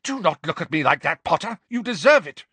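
A strict, furious schoolmistress from a storybook, pitched far above a normal male voice. The delivery is clipped and scolding, with big swings in pitch and a close, dry sound. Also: very animated, a little fast, pushed and bright, husky, no echo, exaggerated voice. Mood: stern, angry.

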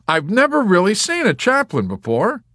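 A man voicing a brash, excitable wartime airman in an audiobook, exclamatory and emphatic. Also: mid-range voice, measured pace, no room sound, clean studio sound, pitched above the performer's own voice. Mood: ecstatic.

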